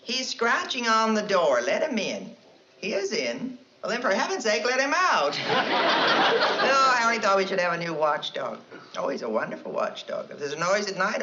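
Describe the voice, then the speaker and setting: A woman playing a gossipy wife chattering on the phone, lively rising and falling voice, punchline patter, dull old TV sound, loud studio audience roaring. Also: mid-range voice, heavy background noise, natural voice. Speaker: comedienne doing a phone monologue. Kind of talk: 1960s sitcom acting.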